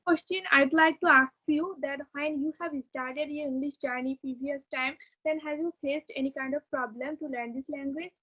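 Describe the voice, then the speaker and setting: High young girl practising English. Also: fairly even intonation, moderate pace, hardly any pauses. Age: child, about twelve. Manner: formal rehearsed learner phrasing. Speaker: young English learner with a video channel. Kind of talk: earnest conversation practice. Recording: dull, narrow phone-like call.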